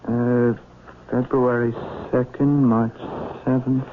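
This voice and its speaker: A man's voice: mid-range voice, little pitch movement, slow pace, narrow, tinny audio, close to an everyday voice. An actor playing a nervous, defensive male secretary, stammering and earnest, in a vintage radio drama. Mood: wary, flustered. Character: nervous, defensive gentleman's secretary under suspicion. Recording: thin, noisy old radio recording.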